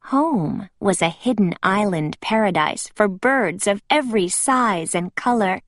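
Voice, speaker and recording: A woman reading a children's storybook aloud with expressive, deliberate phrasing. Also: medium pitch, no room sound, studio quality.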